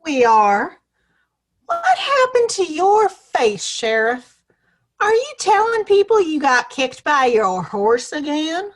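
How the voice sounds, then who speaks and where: Woman playing a sassy high-voiced saloon gal. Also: big pitch swings, slow, exaggerated voice. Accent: American. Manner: flirty, sassy, folksy. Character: sweet-talking, spirited Old West saloon woman. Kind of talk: Western audio play acting.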